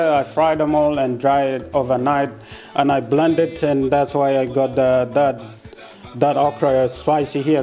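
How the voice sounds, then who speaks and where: A man with a South Sudanese accent and a mellow, warm voice walks through a home cooking demonstration. He speaks in a friendly, unhurried way, with frequent hesitant pauses. Soft music sits faintly behind him. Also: medium pitch. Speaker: home cook.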